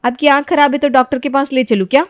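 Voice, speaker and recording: Woman playing an insolent, scheming young daughter-in-law, high-pitched. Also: fairly even intonation, fast talker, close to an everyday voice. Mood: insolent, defiant. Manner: sarcastic, defiant. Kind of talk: dramatised moral story.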